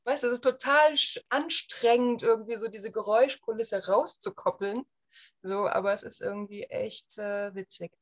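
A woman's voice: mid-range voice, moderate pace. A woman from Germany in her thirties, chatting casually as an interview guest with lots of fillers. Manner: chatty, many fillers, lively retelling. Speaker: guest, emigrant. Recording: slightly dull lo-fi sound.

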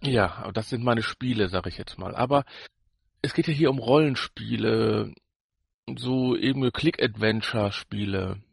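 A man with a husky, creaky voice chats as a podcast co-host. He rambles and searches for words, and the recording is close and dry. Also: on the low side, normal speed, dry recording. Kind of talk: casual chat.

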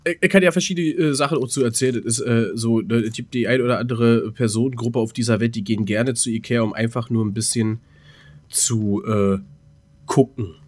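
A man's voice: mid-range voice, fairly even intonation, fairly quick, a little mellow. A man co-hosting a podcast in casual, joking banter with informal, colloquial speech. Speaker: podcast co-host.

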